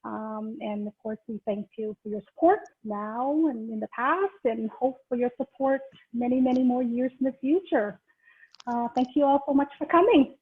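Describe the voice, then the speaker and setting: High-voiced middle-aged woman on a tinny call. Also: normal speed. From Vietnam. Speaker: host of an online ceremony. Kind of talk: informal hosting on a group video call. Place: remote online video call. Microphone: video call microphone. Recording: tinny, band-limited video call audio.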